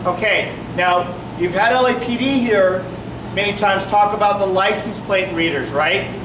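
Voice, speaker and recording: High-voiced officer projecting to a room. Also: male voice. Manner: projecting, brisk, asks the audience questions. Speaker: police officer. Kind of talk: public meeting explanation. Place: community meeting hall. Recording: echoey room from far off, noisy.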